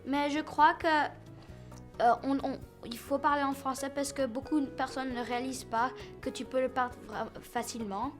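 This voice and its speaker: Young female, higher register, informal hesitant interview answers, clear music bed. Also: fairly even intonation, normal speed.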